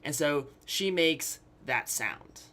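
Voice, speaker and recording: Bright-voiced young man telling a story. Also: a little high, animated, takes it slowly. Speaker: YouTube storyteller. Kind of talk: casual, candid personal storytelling to camera.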